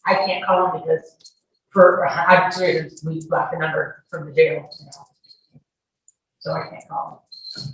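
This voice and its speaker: A woman's voice: low-pitched, steady tone, a little slow, pauses often, a bit bright. A woman giving informal, wordy, insistent answers as a witness under questioning in court. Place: echoey courtroom, far from the mic. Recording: echoey, distant courtroom audio with faint noise.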